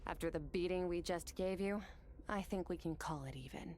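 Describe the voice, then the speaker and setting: A woman playing a stoic, determined young swordswoman in a video game, composed, serious and deliberate. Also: fairly low pitch, darkish tone, a little hiss or hum, natural voice. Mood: resolute. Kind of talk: dramatic video game voice acting.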